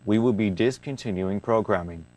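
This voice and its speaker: A man reading a scripted emergency broadcast announcement in a formal, measured manner. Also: lowish voice, dark tone.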